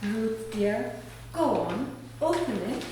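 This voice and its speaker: Woman acting a slow, tender neighbour lady, roomy echo. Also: fairly high pitch, lively intonation, warm tone. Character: kindly, sentimental married neighbour woman, warm and sorrowful. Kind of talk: radio play acting. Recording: roomy, distant, faint background noise.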